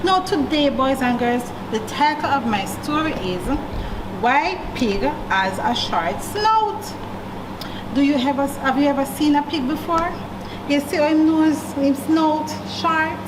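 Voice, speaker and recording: A woman with a Jamaican accent reading a story aloud to children, with deliberate emphasis and questions to young listeners. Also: high-pitched, animated. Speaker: library storyteller. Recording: echoey room, distant mic, clear background noise.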